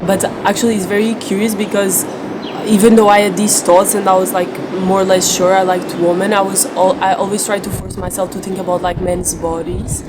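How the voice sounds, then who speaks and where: A young woman speaking candidly as an interviewee, relaxed and soft, filling her talk with "like". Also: medium pitch, fairly quick. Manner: relaxed, many "like" fillers, soft-spoken. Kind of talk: candid personal testimony. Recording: loud background noise, air conditioning hum.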